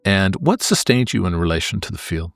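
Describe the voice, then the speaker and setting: A man with a low voice hosts an interview. He is thoughtful and conversational in his phrasing, and the sound is dry and close, with very little room. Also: very animated, a little fast, vocal fry, dry recording, faint music behind. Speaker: podcast host, interviewer.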